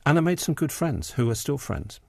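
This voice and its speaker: A man's voice: on the high side, very animated, a little fast, creaky, dry recording. A man in his sixties with an English accent, an interview guest who talks about books and poetry with many ums and restarts. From England. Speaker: interview guest, poet and editor. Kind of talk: relaxed radio interview conversation.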